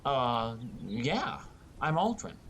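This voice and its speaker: A man's voice: medium pitch, big pitch swings, very slow, fairly bright tone, a little hiss or hum, exaggerated voice. A man voicing a hesitant, questioning young male newcomer to a fantasy game. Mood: unsure.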